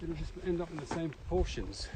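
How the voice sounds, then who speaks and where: A man's voice: fairly high pitch, animated, fairly quick. A man co-hosting a casual podcast, musing in a plain-spoken, reflective way while out walking. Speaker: podcast co-host. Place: outdoors, walking through a pine grove in Morocco. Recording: noisy outdoor walking recording.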